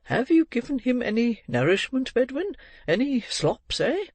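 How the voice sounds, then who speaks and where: Storybook old gentleman played by a man: kind-hearted, brisk, fussily emphatic, dry close sound. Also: very high-pitched, sing-song, no room sound, voice pushed up, full character voice. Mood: brisk, puzzled. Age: older. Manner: emphatic, repetitive, questioning with "eh?".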